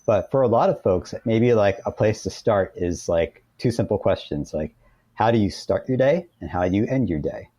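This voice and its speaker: A man with an American accent and a low, mellow voice talks as a guest in an interview. He explains practical ideas conversationally, thinking as he goes and often restarting his phrases. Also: lively intonation, normal speed, slightly rough.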